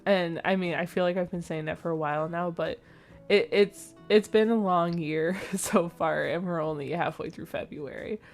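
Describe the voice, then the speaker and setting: A woman's voice: on the low side, normal speed, faint music behind. A young woman narrating her own building video in a casual, rambling way, with a slightly nasal, sleepy voice. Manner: chatty, rambling, with frequent fillers and small laughs. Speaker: Sims builder and channel host. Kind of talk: casual voice-over commentary on a speed build.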